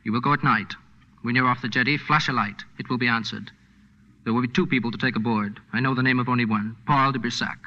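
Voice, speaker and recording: A man's voice: mid-range voice, steady tone, fast, close to an everyday voice. An actor playing a wounded underground resistance man in a 1940s radio drama, speaking formally in clipped, urgent phrases. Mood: urgent, strained. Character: wounded, urgent male French underground fighter. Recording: dull 1940s radio recording.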